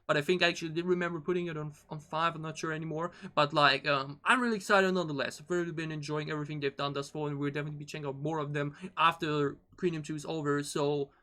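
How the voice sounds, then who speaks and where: Male reaction host, bright and forward tone, informal and enthusiastic, loosely rambling delivery. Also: a little high. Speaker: K-pop reaction video host. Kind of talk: casual reaction commentary.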